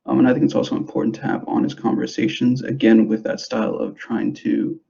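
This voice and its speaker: A young man who grew up in the United States, giving an informal presentation to parents in a hesitant, halting way, on a dull, muffled recording. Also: medium pitch, steady tone, creaky, dark tone. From grew up in the United States, born in El Salvador. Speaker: school substance abuse prevention specialist.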